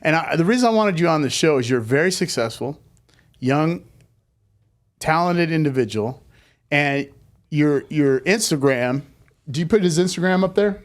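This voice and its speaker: A man hosting an interview show in casual chat, informal and quick to exclaim. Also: fairly high pitch, expressive. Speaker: podcast host.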